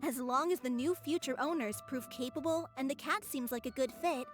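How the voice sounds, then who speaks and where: A woman acting an earnest, kind-hearted young woman in a video game, with an eager and expressive delivery. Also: high voice, a little fast, close to an everyday voice. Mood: upbeat. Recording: faint music under the voice.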